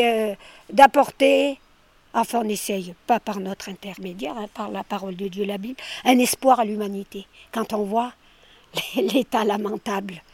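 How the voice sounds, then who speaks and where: An older woman from France chatting outdoors by her garden during a street interview, talkative and emphatic, often repeating her words. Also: mid-range voice. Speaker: villager stopped for a street interview. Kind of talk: casual roadside chat.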